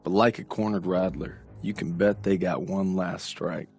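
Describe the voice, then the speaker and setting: An actor playing a tough, folksy American army sergeant narrating war game briefings, with an American accent and a gruff, commanding manner. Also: male voice, deep voice, fairly even intonation, moderate pace, a little mellow, soft background music, heavily acted. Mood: resolute, urgent.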